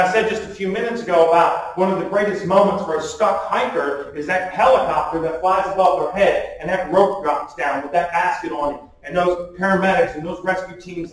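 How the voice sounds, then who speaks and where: A man in his forties preaching a sermon from a pulpit, emphatic, often putting questions to the listeners. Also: high-pitched. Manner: emphatic, with rhetorical questions and calls for amen. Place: small church sanctuary, at a wooden pulpit indoors. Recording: distant, very echoey room sound.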